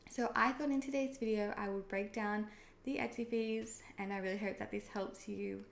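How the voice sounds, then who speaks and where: A woman's voice: medium pitch, fairly even intonation, normal speed, creaky. A young Australian woman explaining things in a friendly, chatty vlog, often saying "um" and "personally". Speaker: online seller and video creator. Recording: echoey room with faint noise.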